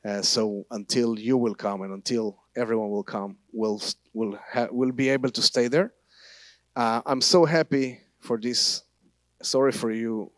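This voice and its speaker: A man from Israel with a Hebrew accent, a guest speaker and tour guide giving a church teaching in halting, non-native English full of restarts. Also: medium pitch, fairly even intonation, no echo.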